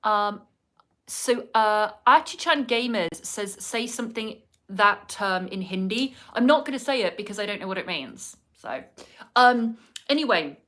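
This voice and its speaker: English woman chatting away on a livestream, lots of ums and likes, mid-pitched. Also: animated, unhurried, a bit bright. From England. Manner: chatty, rambling, with many fillers and asides to the chat. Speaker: livestreaming vlogger. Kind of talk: casual chat while doing makeup. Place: home indoors in cold winter weather.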